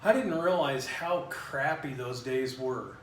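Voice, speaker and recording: A man's voice: mid-range voice, takes it slowly, a bit bright. A man giving a casual, unscripted how-to in plain, informal language, as a hobbyist presenter. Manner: plain-spoken, informal, colloquial. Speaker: hobbyist presenter demonstrating a DIY headset build. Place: roomy garage. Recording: roomy echo, distant mic, faint noise.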